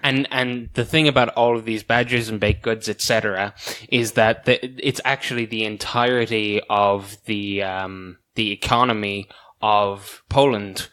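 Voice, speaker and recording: A man's voice: fairly low pitch, fairly even intonation, a little slow, hardly any pauses, a bit bright, smooth. A man co-hosting an improvised comedy podcast, explaining absurd details matter-of-factly. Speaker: podcast co-host.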